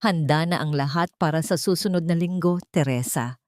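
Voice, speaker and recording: A woman with a Filipino accent voicing a gentle, earnest rich man in an audiobook, solemn and measured. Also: low voice, no room sound, clean studio sound, natural voice. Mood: tender, proud. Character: gentle, earnest wealthy older man in love. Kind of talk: audiobook character dialogue.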